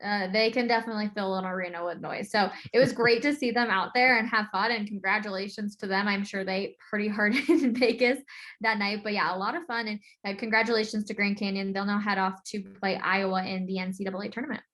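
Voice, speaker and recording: A woman's voice: medium pitch, steady tone, fast, fairly bright tone. An American woman hosting a sports podcast in a conversational recap style.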